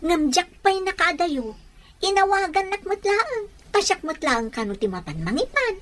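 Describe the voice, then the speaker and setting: An actress playing an anxious, wary household helper who speaks earnestly and respectfully in a radio drama. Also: female voice, very high-pitched, sing-song, moderate pace, a bit bright, slight background noise, full character voice. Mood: worried, confiding.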